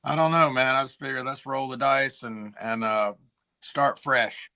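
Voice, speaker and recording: A hoarse man, a musician guest chatting casually and laid-back on a video call. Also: medium pitch, measured pace, bright, forceful tone. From Tennessee. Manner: laid-back, slangy, says "man" and "dude". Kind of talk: casual chat.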